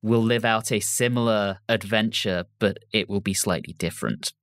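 A man's voice: on the low side, normal speed, a bit bright, no room sound. A man hosting a podcast, discussing an audio drama. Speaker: podcast host.

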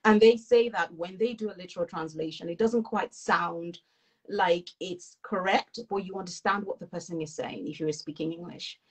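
A woman's voice: lowish voice, normal speed, slightly rough, rough recording. Modest young woman author from Nigeria. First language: Yoruba. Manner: modest, laughing, thoughtful. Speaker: author guest. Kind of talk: casual interview. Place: living room with couch. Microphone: video call.

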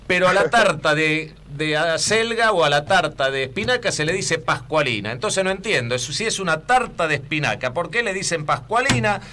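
A man's voice: a little high, lively intonation, a little fast, hardly any pauses, pushed and bright. A middle-aged man with an Argentine accent, hosting a casual radio interview in a small studio, chatty and playful. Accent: Argentine Spanish. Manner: chatty, gestures broadly, playful. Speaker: radio host. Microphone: headset with desk mic.

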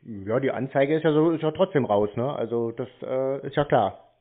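A man's voice: medium pitch, normal speed. A man in an unscripted phone conversation, answering telemarketers in a blunt, matter-of-fact, unyielding way. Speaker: called party challenging telemarketers. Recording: narrow-band phone line.

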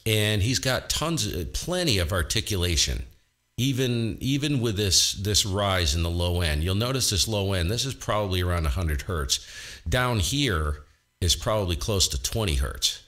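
A man with a very low, boomy borderline baritone voice talks through his ham radio shack in a relaxed way. He explains things as he goes and thinks aloud between points. He speaks at a desk mic in his home setup. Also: moderate pace. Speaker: ham radio operator and host. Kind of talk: casual technical walkthrough.

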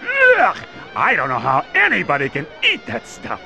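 A man's voice: high-pitched, wildly swooping pitch, moderate pace, creaky, over music, full character voice, poor audio. A man playing a disgusted, complaining bug waitress in a children's computer game.

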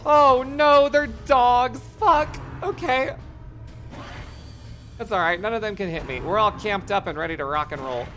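A game streamer with a voice going hoarse, chatting casually, swearing a lot and reacting loudly to the game. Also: medium pitch, measured pace, soft background music. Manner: excitable, profane, reacts loudly to gameplay. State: voice getting hoarse. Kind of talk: casual live-stream commentary.

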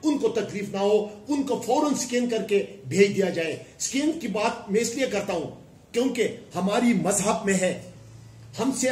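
Former councillor guy from pakistan at a press conference, high voice, emphatic, echoey room. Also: darkish tone. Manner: emphatic, gesturing with raised hands, speaking in listed repetitions. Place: indoor room before a plain wall. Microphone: cluster of press microphones on a table.

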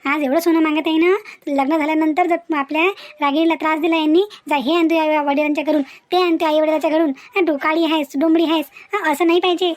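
Fast, high-pitched outspoken young niece played by a woman. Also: steady tone, dry recording, natural voice. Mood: indignant. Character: outspoken young niece scolding dowry-seeking elders. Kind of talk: cartoon family drama dialogue.

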